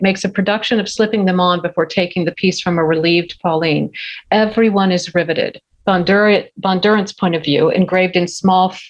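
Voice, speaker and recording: A woman's voice: fairly low pitch, dull audio. A woman reading scene headings and action lines as narrator at a script table read. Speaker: stage direction narrator.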